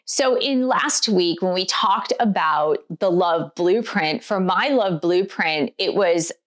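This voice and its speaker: A middle-aged woman with a slightly hoarse voice, hosting her own author vlog and speaking candidly and reflectively about her past relationships. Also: lowish voice, takes it slowly. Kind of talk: personal vlog talk to camera.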